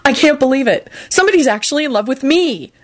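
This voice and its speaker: An excitable young man in love, acted out by a woman reading a story. He is insecure yet stubborn and quick-tempered, blurting his words with emphasis and very lively swings of pitch. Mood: ecstatic.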